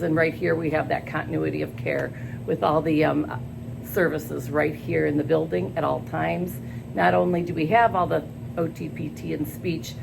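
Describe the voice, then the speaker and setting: An older woman answering job interview questions in an earnest, halting way, with many pauses and fillers. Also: low-pitched, creaky. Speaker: school staff job candidate. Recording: noisy background.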